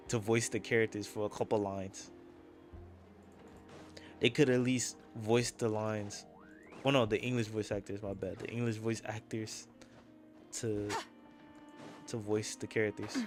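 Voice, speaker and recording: Rambling young gamer guy. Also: lowish voice. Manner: rambling, opinionated, slangy. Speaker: gaming streamer. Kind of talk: casual gameplay commentary. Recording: game music behind.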